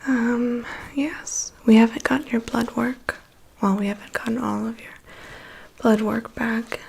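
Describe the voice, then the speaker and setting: A woman playing a calm, prying vampire's assistant in an ASMR roleplay, murmuring dry asides and reassurance in a breathy whisper. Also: fairly high pitch, full character voice, low-quality recording. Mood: curious, reassuring. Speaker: ASMR performer. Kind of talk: ASMR roleplay, personal attention.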